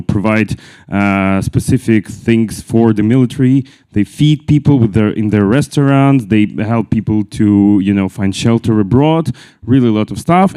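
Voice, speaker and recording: A man presenting at a conference in halting, English, full of fillers and restarts. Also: mid-range voice, warm tone. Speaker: panel speaker.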